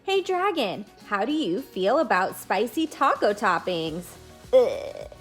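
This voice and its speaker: A woman's voice: medium pitch, sing-song, slow pace, faint music behind. A woman hosting a read-aloud lesson for young children, speaking to them directly in simple words, as a teacher reading a picture book. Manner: addresses children directly with simple explanations and questions.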